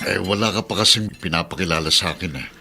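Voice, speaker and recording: A fatherly, moralizing uncle in a radio drama, probing and watchful. His voice is lowish yet bright and forceful, with dishes clinking faintly behind. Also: quick pace, gravelly, slight background noise, full character voice. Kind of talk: Filipino radio drama acting.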